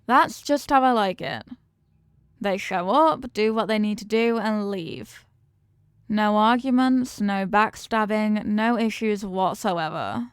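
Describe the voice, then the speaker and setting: A woman's voice: mid-range voice, slow pace, smooth, no room sound, clean studio sound, lightly acted. A woman playing a confident, affectionate mafia boss in an intimate roleplay, teasing and streetwise, with slangy talk and pet names. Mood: musing, assured. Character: confident, doting female mafia boss, tough but affectionate. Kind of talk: intimate ASMR-style roleplay acting.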